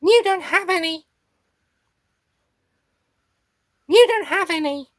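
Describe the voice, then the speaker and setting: Squeaky lil alien critter, super high, cutesy but scheming, wheedly, swoops around, close dry sound. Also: female voice, lively intonation, normal speed, no room sound. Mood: petulant. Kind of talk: fan parody character voice recording.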